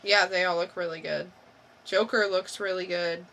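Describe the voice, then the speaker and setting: A woman's voice: on the low side, steady tone, moderate pace, creaky, fairly bright tone, slight background noise. A woman co-hosting a podcast in casual, informal chat, often in short replies. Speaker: podcast co-host.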